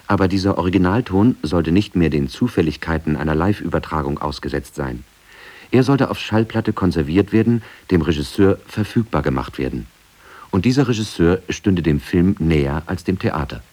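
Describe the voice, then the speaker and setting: Male feature narrator, low and husky, reading from a script. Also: a little mellow. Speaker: documentary narrator. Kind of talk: scripted radio documentary narration.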